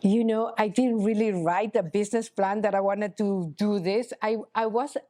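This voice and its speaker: A middle-aged woman, a chocolatier guest speaking in a casual interview, halting with many restarts and "you know". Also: medium pitch, fairly even intonation, mellow. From Honduras. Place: chocolate shop cafe.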